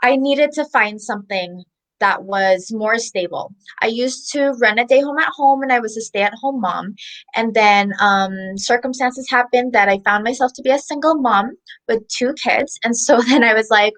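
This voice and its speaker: A woman's voice: fairly high pitch, normal speed, fairly bright tone, low-quality recording. A woman in her thirties from the Philippines, a guest telling her story in a casual, chatty interview with many restarts.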